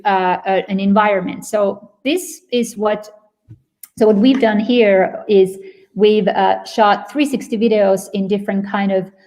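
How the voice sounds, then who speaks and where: A woman's voice: mid-range voice, dark tone. A woman with a Finnish accent giving a webinar presentation, explaining at length and searching for words mid-sentence. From Finland. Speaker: company presenter.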